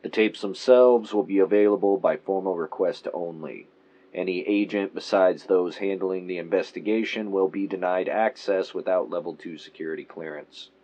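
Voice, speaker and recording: A man's voice: deep voice, normal speed, dark tone, dull audio. A man playing an impersonal investigator who reads an official case report in a clipped, formal manner for an audio drama. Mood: detached, official.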